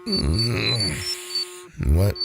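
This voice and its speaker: Man playing a laid-back, gravelly teenage guy. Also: fairly low pitch, lively intonation, drawn-out words, over loud music, full character voice. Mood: groggy, curious. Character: laid-back teenage boy, friendly and a little puzzled. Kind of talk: audio drama acting, naturalistic.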